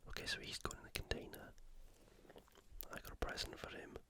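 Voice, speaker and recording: An ASMR host speaking casually in a breathy, unvoiced whisper, giving commentary on sport and gameplay. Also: fairly quick. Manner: casual, conversational.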